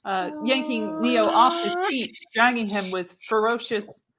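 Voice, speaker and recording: A woman's voice: fairly high pitch, animated, unhurried, a loud crowd. A woman narrating screenplay directions for a live radio play, reading dramatically with the odd stumble. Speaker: narrator, show host.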